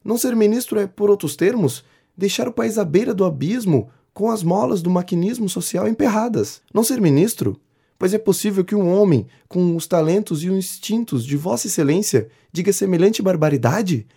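Man acting a pompous, flattering, mellow-voiced inventor. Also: high-pitched, normal speed, vocal fry, husky, full character voice. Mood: aghast, agitated. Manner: grandiloquent, effusive, theatrically indignant. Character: pompous, flattering male inventor petitioning a politician for privilege. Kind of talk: audio drama acting of a 19th-century comedy.

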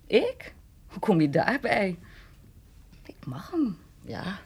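An actress playing a gentle, wistful woman in an intimate radio play, speaking in a hushed, halting way. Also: mid-range voice, huge pitch swings, slightly rough, exaggerated voice. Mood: shy, wistful. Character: gentle, wistful adult woman consoling a teenage boy. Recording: radio play, faint noise.

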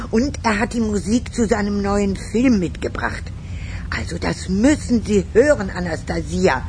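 A woman's voice: mid-range voice, animated, a little slow, darkish tone, a little hiss or hum, natural voice. An actress playing an effusive, theatrical old woman, a doting teacher, in a radio drama. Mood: enthusiastic. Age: older. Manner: gushing, repeats words for emphasis.

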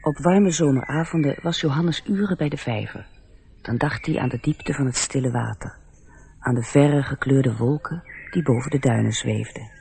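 A man plays a male storyteller in a radio play, gentle and earnest. The voice creaks with fry and confides straight in the listener, over faint background noise. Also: medium pitch, moderate pace, lightly acted. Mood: wistful. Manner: confiding, addresses the listener directly. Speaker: narrator.

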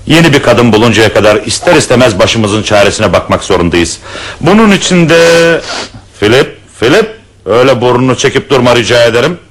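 Actor as mild-mannered professor dad: lively swooping intonation, courteous, pleading, radio theatre style. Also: male voice, mid-range voice, a little fast, close to an everyday voice. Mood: pleading, flustered. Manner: polite, pleading, formal. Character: gentle, harried widowed professor, father of four. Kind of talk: Turkish radio theatre acting.

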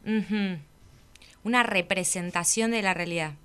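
A woman's voice: mid-range voice, a little slow, bright, forceful tone, clear, resonant tone. A woman with a Rioplatense Argentine accent, chatting casually as a guest on a radio show.

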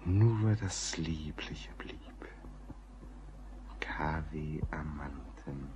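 Very deep-voiced courteous gentleman writer. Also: highs cut off, slight background noise, full character voice. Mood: gentle, solemn. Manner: measured, formal, earnest. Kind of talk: German radio play acting.

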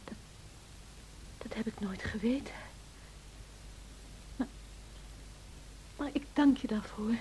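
A woman's voice: on the high side, mellow, poor audio. An actress playing a spirited, proud yet tender young lady in a radio play, speaking emphatically, on a hissy old recording. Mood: emotional. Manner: emotional, emphatic, halting.

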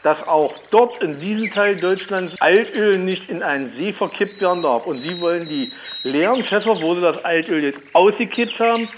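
A man with a high-pitched voice answers as an official on the phone. He stammers in flustered disbelief, and his line is dull with clear noise over it. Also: moderate pace. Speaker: official taking a phone call. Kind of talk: unscripted phone conversation. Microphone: telephone. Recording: noisy, dull telephone line.

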